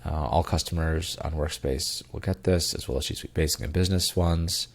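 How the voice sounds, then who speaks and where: A man's voice: rumbling low, brisk. A man hosting a casual tech news podcast, congested and tired, with plenty of ums and uhs and loose rambling asides. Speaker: podcast co-host.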